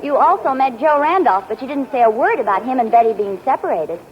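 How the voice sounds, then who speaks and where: A woman's voice: high-pitched, lively intonation, fast. A woman acting an inquisitive, persistent wife in a 1940s radio sitcom. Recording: muffled old radio broadcast with audience.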